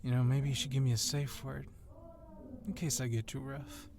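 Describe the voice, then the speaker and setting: A man's voice: mid-range voice, flat delivery, normal speed, creaky, a crowd in the background, natural voice. A man playing a tough, confident police wrestling champion who teases his rival, in an ASMR roleplay audio drama. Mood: confident, teasing. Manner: teasing, self-assured.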